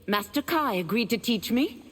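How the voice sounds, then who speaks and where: A woman's voice: fairly high pitch, animated, moderate pace, long reverb tail, a little hiss or hum, close to an everyday voice. An actress voicing a composed, teasing young woman warrior in a video game.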